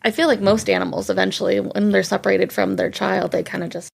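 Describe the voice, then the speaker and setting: A woman co-hosts a film podcast in a voice full of gravelly fry. Her pitch sits in the middle for a woman. She moves between informal, wry chat and reading out a summary. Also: little pitch movement, fast. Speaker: podcast co-host. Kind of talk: casual film discussion mixed with read plot summary.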